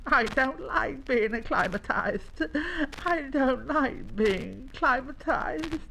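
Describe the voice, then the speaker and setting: A storyteller voicing an excitable, naive young male llama in a children's story, whiny and exclaiming. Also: high-pitched, slow pace, creaky, a little hiss or hum, pitched above the performer's own voice, heavily acted, poor audio. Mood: whiny upset. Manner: whiny, exclaiming, repeats himself.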